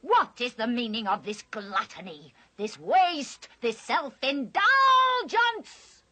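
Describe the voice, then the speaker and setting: An actress playing a cruel, haughty witch queen who commands with contempt in an animated fantasy. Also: high-pitched, slow, exaggerated voice. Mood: haughty, menacing. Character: imperious, cruel witch queen, quick to rage. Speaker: villain. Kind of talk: theatrical animated fantasy acting.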